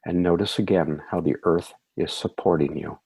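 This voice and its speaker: A man leading a guided meditation in a soothing, measured way, with calm phrasing and gentle affirmations. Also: deep voice, gravelly, slightly rough, rough recording.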